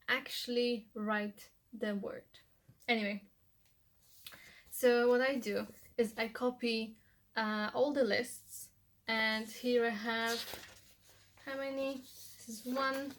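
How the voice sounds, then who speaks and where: A young woman, a native Polish speaker, talks casually through her study tips in a loose, rambling way. She fills her talk with "like" and "you know.". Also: fairly high pitch. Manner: chatty, loose, restarts often with "like" and "you know". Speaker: language-learning vlogger. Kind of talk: casual how-to vlog.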